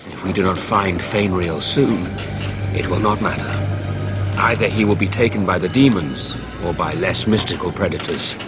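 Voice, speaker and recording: Man acting a grave, low-voiced templar knight. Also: over music, close to an everyday voice. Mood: stern, guarded. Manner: measured, formal. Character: sober, guarded male templar knight. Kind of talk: serious video game character acting.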